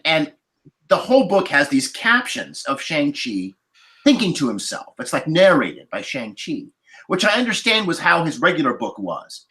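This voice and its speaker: A man chatting casually on a podcast panel, telling stories with many asides. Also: fairly high pitch. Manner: animated storyteller, many asides. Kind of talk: casual anecdotal chat.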